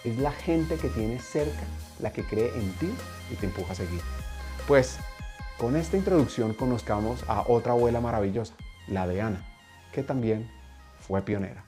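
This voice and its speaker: A man hosting a podcast, reading a measured, reflective scripted introduction with emphasis. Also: medium pitch, animated. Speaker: podcast host. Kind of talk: scripted narration. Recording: soft music underneath. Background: faint music.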